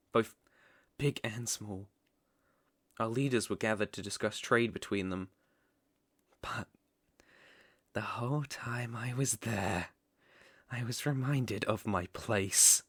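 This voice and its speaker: A man's voice: medium pitch, dry recording, close to an everyday voice. Guy doing a creepy obsessed villain king, asmr roleplay, drawn out sinister lines, really dry sound. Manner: sinister, theatrical, slow drawn-out phrasing with whispers. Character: obsessive, menacing young villain king, madly lovesick.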